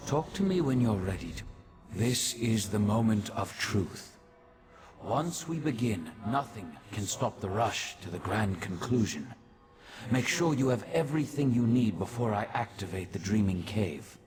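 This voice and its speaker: A man's voice: lowish voice, expressive, measured pace. A man playing a solemn, commanding mage in a fantasy video game, formal and portentous. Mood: grave command. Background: music.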